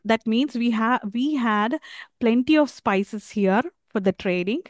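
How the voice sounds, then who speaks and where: A woman with an Indian English accent teaching an online class, halting with many fillers and asking questions. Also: on the high side, animated, no echo, studio quality.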